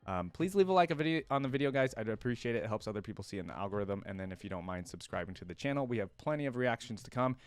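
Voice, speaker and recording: A man's voice: lowish voice, expressive, rapid-fire, hardly any pauses, clean tone. A man hosting a reaction video, chatting informally and enthusiastically as he thinks aloud. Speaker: reaction channel host. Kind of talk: casual chat to camera, rambling commentary.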